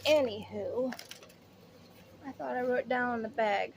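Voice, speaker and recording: A woman's voice: on the high side, a little slow, vocal fry, a little hiss or hum. A woman in her late fifties chatting casually through a shopping haul, rambling and interrupting herself as she goes. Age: late 50s. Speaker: haul vlogger. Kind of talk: casual product haul chat.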